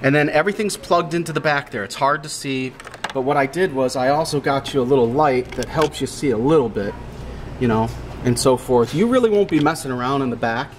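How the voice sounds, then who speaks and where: A man's voice: mid-range voice, fairly quick. A man giving a casual, hands-on equipment walkthrough in a plain-spoken, explanatory way, repeating instructions as he goes. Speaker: audio equipment installer giving a tutorial. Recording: clearly noisy room background.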